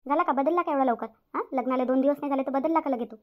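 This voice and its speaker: A woman's voice: sits high, racing, natural voice. A woman voicing a stern, self-pitying middle-aged mother-in-law in a cartoon family drama, nagging with repeated questions in a Vidarbha Marathi accent. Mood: scolding, bitter. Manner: nagging, repetitive rhetorical questions. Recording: dull lo-fi audio.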